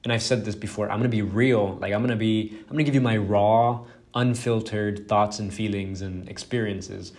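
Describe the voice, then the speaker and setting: A man's voice: fairly low pitch, steady tone, normal speed, darkish tone. Young guy, spanish is his first language, rambly self-deprecating podcast talk, lots of "um" and "you know". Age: young adult. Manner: rambling, self-deprecating, swears freely, many fillers. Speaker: podcast host. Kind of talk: casual solo podcast monologue.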